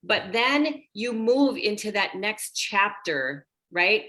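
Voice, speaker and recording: A woman's voice: mid-range voice, takes it slowly, poor audio. A woman in her sixties, a women's health coach, chatting animatedly on a group video call and talking straight to the group. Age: 60s. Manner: animated, talks straight to the group as "you guys".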